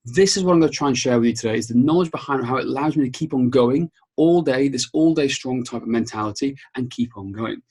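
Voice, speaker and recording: A man's voice: mid-range voice, quick pace, few pauses, a little mellow, husky. A Welsh man whose first language is Welsh, a strength coach giving an upbeat webinar presentation and often checking in with "okay?". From Wales.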